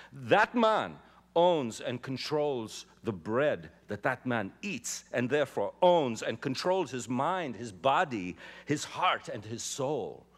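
Actor reading a young immigrant organizer addressing a jury, lively rising and falling delivery, podium mic on a big stage. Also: male voice, mid-range voice. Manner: impassioned, swelling then dropping to hushed. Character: young male immigrant labor organizer pleading before a jury. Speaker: actor giving a dramatic reading. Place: large theater stage. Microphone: podium microphone.

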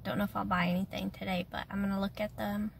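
A woman's voice: mid-range voice, flat delivery, fast, gravelly, slight background noise. A woman, chatting casually to a phone held at arm's length, congested and a little nasal, tired, rambling with lots of fillers. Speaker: vlogger. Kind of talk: casual chat to camera. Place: home kitchen and parked car.

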